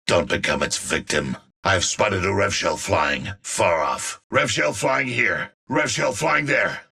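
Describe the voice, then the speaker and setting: A grave game legend, very deep and gravelly. He delivers battle warnings slowly, with a husky, hard edge. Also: expressive, sharp tone, full character voice. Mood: grave, alert. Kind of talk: video game callouts.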